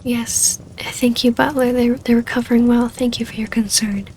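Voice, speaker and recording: A woman acting a wistful, tender vampire baroness in an ASMR roleplay, speaking softly and haltingly in an intimate delivery. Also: a little high, flat delivery, slight background noise.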